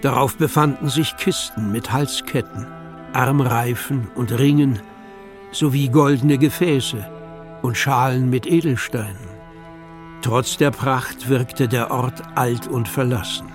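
A man's voice: mid-range voice, fairly even intonation, slow pace. A man narrating a children's audio drama as a scripted storyteller. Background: music.

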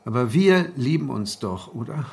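A man's voice: medium pitch, lively intonation, normal speed, gravelly, a little mellow. An actor playing an anxious, wordy man of about sixty in a radio drama, self-deprecating, hesitant and wry. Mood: tender, unsure. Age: 60s. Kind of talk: naturalistic radio drama acting.

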